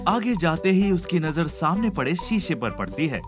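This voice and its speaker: A man's voice: a little high, sing-song, normal speed. A man reading scripted narration for a cartoon story. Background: background music.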